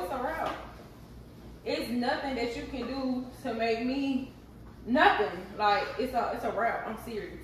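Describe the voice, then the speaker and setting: A woman's voice: a little high, fairly bright tone, heavy reverb, distant mic, slight background noise, poor audio. A woman, chatting candidly and animatedly to her viewers while cooking in her kitchen. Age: 30s. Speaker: vlogger. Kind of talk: casual chat while cooking. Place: home kitchen.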